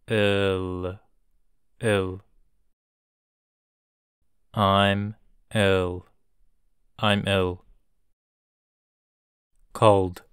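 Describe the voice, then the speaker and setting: Slow, flat male teacher for repeat-after-me drills, each word said three times. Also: sits low, clean tone, no room sound, studio quality. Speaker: English teacher. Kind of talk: slow drilled vocabulary reading.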